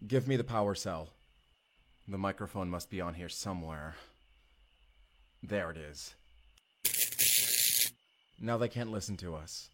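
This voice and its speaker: Man acting a low-voiced, determined sci-fi hero. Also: a little slow, pauses often, a little hiss or hum. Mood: urgent, planning. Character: urgent, determined male time traveler, protective of his partner. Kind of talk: immersive audio roleplay drama acting.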